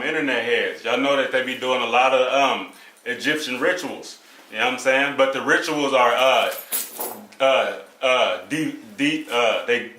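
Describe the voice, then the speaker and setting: A man's voice: mid-range voice, pushed and bright. Young man talking loosely in an echoey room. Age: young adult. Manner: laid-back, frequent "you know what I'm saying" fillers. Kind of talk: casual discussion. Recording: roomy echo.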